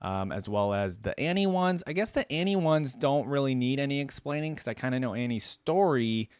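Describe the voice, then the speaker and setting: Man, mid-pitched voice, reaction video host, casual unscripted commentary, conversational, dry close sound. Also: expressive, a little fast, a little mellow, smooth, dry recording.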